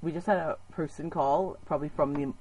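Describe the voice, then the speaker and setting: A woman's voice: low voice, steady tone, fairly quick, a crowd in the background, low-quality recording. A woman playfully hosting a pretend call-in radio show, dramatic and exclaiming.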